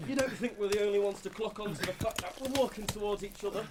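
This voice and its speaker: An actor playing a gruff, wry, seasoned First World War tunneller in an audio drama, with dry humour. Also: male voice, high-pitched, fast talker, close to an everyday voice. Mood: knowing, brisk. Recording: noisy track with clicks.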